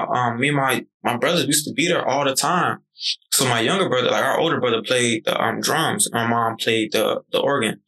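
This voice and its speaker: A man's voice: medium pitch, vocal fry, bright, forceful tone, poor audio. A young man in his twenties talking casually and animatedly on a podcast, swearing freely as he tells stories. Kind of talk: casual conversation.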